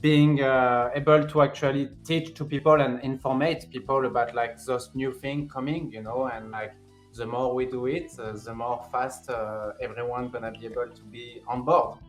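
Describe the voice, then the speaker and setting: A man's voice: medium pitch, little pitch movement, normal speed, echoey room, faint music behind. A young man from France with a strong French accent, a guest on a podcast chatting in a friendly, talkative way, full of "like" and "you know". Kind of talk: casual podcast interview.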